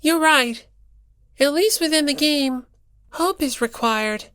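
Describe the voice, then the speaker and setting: A performer voicing a gentle, dreamy, thoughtful teenage girl in an audiobook, speaking softly and without hurry. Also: high voice, takes it slowly, dry recording, clean studio sound, pitched above the performer's own voice, heavily acted. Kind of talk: dramatic audiobook character dialogue.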